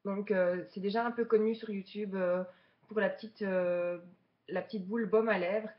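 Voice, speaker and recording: A woman's voice: mid-range voice, fairly even intonation, moderate pace, highs cut off. A woman of twenty-seven from Belgium, chatting casually to viewers as a beginner vlogger during an unboxing. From Belgium, Charleroi region.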